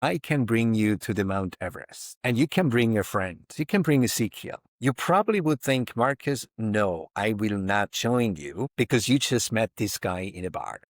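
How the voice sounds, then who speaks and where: A man's voice: fairly low pitch, expressive, normal speed, hardly any pauses, no room sound, clean studio sound. A man in his fifties, a podcast guest speaking reflectively and emphatically, often voicing inner thoughts as quotes. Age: 50s. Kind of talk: reflective interview talk.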